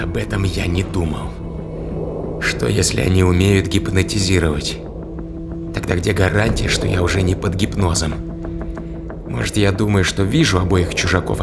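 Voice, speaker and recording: A man's voice: low voice, vocal fry. A man playing a suspicious, alarmed spaceman, brooding in inner monologue in a science fiction audio drama. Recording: loud music behind.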